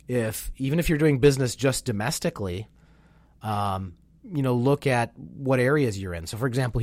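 Nasal, congested low-voiced man. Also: no echo. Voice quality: slightly nasal. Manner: animated and enthusiastic, with frequent "you know" fillers. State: congested, getting over a sinus infection. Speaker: webinar co-host. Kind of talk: conversational business webinar. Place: Chicago.

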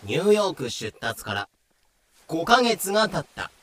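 A man's voice: on the high side, sing-song, normal speed, fairly bright tone. A man narrating a scripted audio drama.

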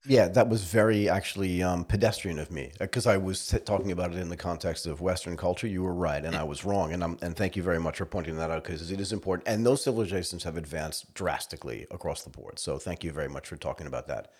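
A man's voice: deep voice, fast, few pauses, a little mellow, breathy edge. A man hosting a podcast, asking curious, enthusiastic questions. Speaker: podcast host. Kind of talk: podcast interview.

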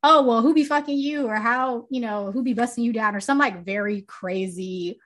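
A young woman co-hosting a music podcast in casual chat. She talks loosely, swears freely, laughs often, and calls her own voice pitchy and a little grating. She speaks close to a desk mic. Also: normal speed, a bit bright. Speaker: podcast co-host.

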